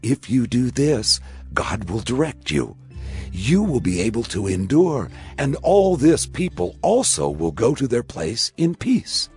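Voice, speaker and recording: A man playing a wise elder father-in-law giving counsel in a dramatized scripture reading, measured, earnest and instructive. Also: mid-range voice, sing-song, darkish tone, soft background music. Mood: earnest, advising.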